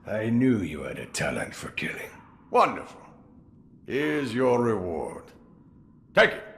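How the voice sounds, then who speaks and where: An actor playing a gruff old swordsman in a game cutscene, sly and relishing, with sudden loud outbursts. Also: lowish voice, big pitch swings, a little slow, noisy background. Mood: gruff theatrical. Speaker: mentor recruiting the hero. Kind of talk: video game cutscene acting.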